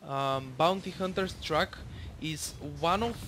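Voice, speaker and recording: A man's voice: fairly high pitch, takes it slowly, a bit bright, slight background noise. A young man from Bulgaria with a Bulgarian accent, casually commentating his own match, rambling with lots of fillers and the odd swear.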